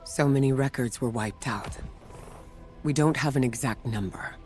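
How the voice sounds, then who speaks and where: An actor playing a calm, seasoned mentor in a video game cutscene, speaking in a measured, grave way. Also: male voice, medium pitch, soft background music, natural voice. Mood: grave, consoling.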